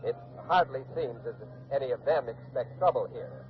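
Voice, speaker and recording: A man's voice: mid-range voice, normal speed, lightly acted. A man acting an earnest on-the-scene reporter in a 1940s radio docudrama, describing events in an articulate eyewitness style. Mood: measured, observant. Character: earnest male radio field reporter at a colonial standoff. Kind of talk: 1940s radio docudrama live news commentary. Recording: dull old radio recording with hiss.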